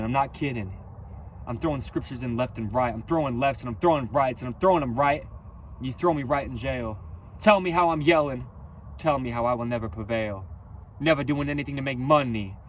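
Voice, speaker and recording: A man delivering a rhythmic, rhyming spoken-word freestyle with a preachy, emphatic and loud delivery. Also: medium pitch, slight background noise. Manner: loud, near-yelling delivery.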